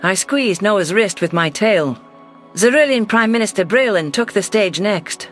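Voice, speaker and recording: A man's voice: high voice, expressive, a little slow, fairly bright tone, faint music behind. A man narrating a science fiction story in the first person, reading a scripted text.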